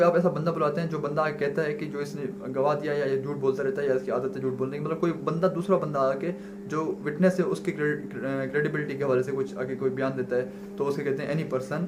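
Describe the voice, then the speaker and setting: A man with a Pakistani accent giving an informal, didactic lecture, mixing in English legal terms and answering his own questions. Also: medium pitch. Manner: didactic, asks questions and answers them himself. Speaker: law lecturer. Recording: noisy recording with clear hum.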